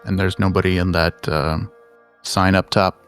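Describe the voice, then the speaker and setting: A man's voice: low-pitched, little pitch movement, normal speed, smooth, faint music behind. A man playing a tabletop game, speaking in character and asking about the scene. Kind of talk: in-character roleplay and table talk.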